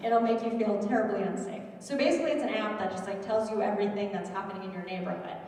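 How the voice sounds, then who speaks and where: A young adult woman doing a loud, chatty, self-mocking stand-up comedy set on stage. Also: medium pitch, quick pace. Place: large hall at a culture show. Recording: echoey hall, mic heard from far off.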